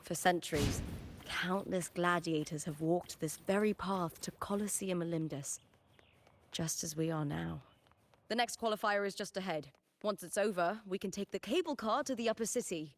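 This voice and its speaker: An actress voicing a proud young gladiator star in a video game, bold and blunt, with a self-assured, commanding manner. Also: female voice, fairly low pitch, moderate pace, a little hiss or hum, lightly acted. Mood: companionable.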